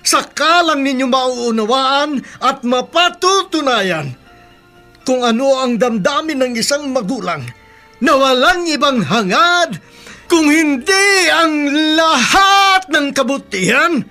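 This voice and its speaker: A man's voice: very high voice, animated, takes it slowly, bright, forceful tone, soft background music, full character voice. An actor playing a self-sacrificing, doting middle-aged father with a Filipino accent, earnest and pleading, in a radio drama. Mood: earnest, impassioned.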